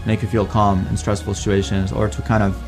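A man's voice: lowish voice, flat delivery, brisk, a little mellow. A young man from Iraq, a PhD student, telling his story in a thoughtful, casual way with frequent "like.". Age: young adult. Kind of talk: personal testimonial. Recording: music bed under the voice.

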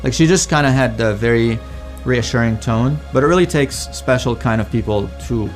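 A man's voice: medium pitch, moderate pace. A young man from Iraq, a PhD student, telling his story in a thoughtful, casual way with frequent "like.". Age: young adult. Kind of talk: personal testimonial. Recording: music bed under the voice.

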